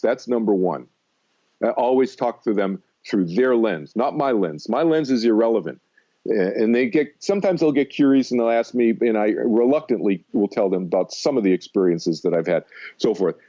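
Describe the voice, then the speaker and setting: An American man in his sixties with a mellow, dark voice, talking as an interview guest. He tells stories emphatically and loops back over his points. The sound is slightly dull and lo-fi. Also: fairly low pitch. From Massachusetts, United States. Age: 60s. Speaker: interview guest, meditation teacher. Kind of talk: conversational radio interview, personal storytelling.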